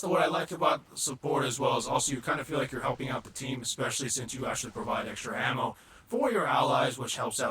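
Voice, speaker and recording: Fast-talking man with a robotic-sounding voice. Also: mid-range voice, roomy sound, background noise. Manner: chatty, keeps repeating "not gonna lie". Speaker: gaming video creator. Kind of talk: casual gaming tier-list commentary.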